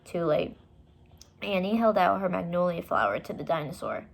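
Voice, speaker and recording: A woman reading a children's story aloud, with expressive, deliberate and dramatic narration. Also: lowish voice, creaky.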